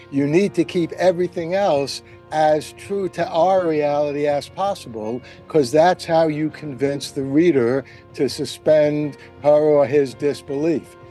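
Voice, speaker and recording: A man with a fairly high but soft, mellow voice makes his points on a radio panel. He is thoughtful and articulate, building measured sentences with deliberate emphasis over faint music.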